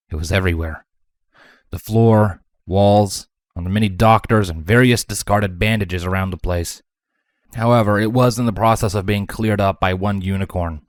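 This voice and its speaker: A man's voice: sits low, dry recording, studio quality. A man reading scripted fantasy fiction as a narrator.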